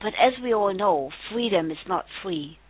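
Woman, German accent, scripted inspirational monologue, husky, dry phone-like sound. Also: mid-range voice, animated, moderate pace, dry recording. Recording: dry, phone-like played clip.

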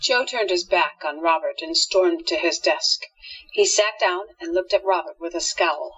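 Low-pitched woman narrating a radio play. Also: a little slow, fairly bright tone, husky, highs cut off.

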